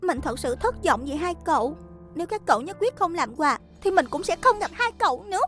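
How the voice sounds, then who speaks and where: A woman playing a sweet, earnest child peacemaker in a dubbed animated series. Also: extremely high pitch, a little fast, soft background music. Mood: pleading.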